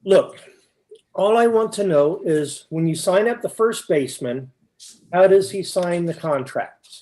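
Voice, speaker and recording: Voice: medium pitch, expressive, measured pace, darkish tone, lightly acted. A performer playing an exasperated, confused questioner in a staged comic baseball dialogue, pressing insistently for answers. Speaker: amateur readers theater performer.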